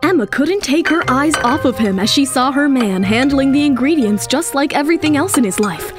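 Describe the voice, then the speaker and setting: Female narrator, high voice, emphatic dramatic storytelling, music underneath. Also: animated, a little fast. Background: dramatic background music.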